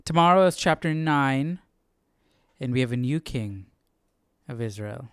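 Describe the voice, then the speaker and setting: A man's voice: mid-range voice, expressive, measured pace, smooth, no room sound, clean studio sound. A man hosting a casual Bible study livestream, commenting informally and thinking aloud. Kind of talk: casual live reading commentary.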